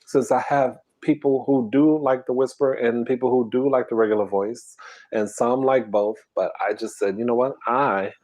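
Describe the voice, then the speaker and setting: A man hosting a casual eating stream, talking softly and half-whispering in a relaxed, drowsy way while reading comments. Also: medium pitch, moderate pace. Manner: soft, quiet, half-whispered talk. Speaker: ASMR mukbang channel host.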